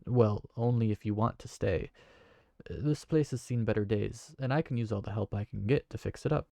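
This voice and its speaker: A man's voice: lowish voice, normal speed, gravelly, mellow, dry recording, clean studio sound. A man voicing a kind, awkward tavern keeper who talks in a hesitant, friendly way. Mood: reassuring. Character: kind, awkward young male tavern keeper named Briar. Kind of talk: fantasy audio roleplay.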